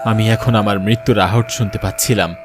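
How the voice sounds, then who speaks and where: A man's voice: on the low side, steady tone, fairly quick, noisy background, lightly acted. A man playing a frightened young man, a betrayed lover telling his horror story in an earnest, dramatic first-person narration. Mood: tense narration.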